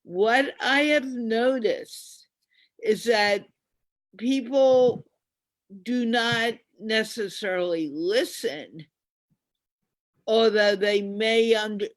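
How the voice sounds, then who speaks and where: A woman hosting a conversational interview, speaking in a deliberate, affirming way. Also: mid-range voice, dry recording. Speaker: host.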